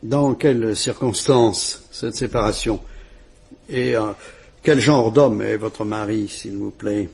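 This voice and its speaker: An actor playing a calm, kindly police commissioner in a vintage radio drama, speaking in a measured, courteous and reassuring way. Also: male voice, fairly low pitch, creaky, slightly rough, full character voice. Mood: probing, polite.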